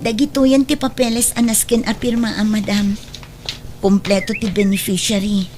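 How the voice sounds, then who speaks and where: A woman's voice: medium pitch, level tone, normal speed, over music, natural voice. A woman playing a polite, dutiful assistant in an audio drama, deferential and helpful, with a Northern Philippine accent. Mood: polite, attentive. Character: polite, dutiful young female assistant to a wealthy madam.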